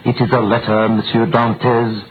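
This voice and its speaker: A man's voice: medium pitch, little pitch movement, a little slow, narrow, tinny audio, close to an everyday voice. A man playing a cool, authoritative prosecutor with a formal, probing manner in 1930s radio drama. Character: cool authoritative royal prosecutor questioning a prisoner. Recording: thin noisy vintage radio recording.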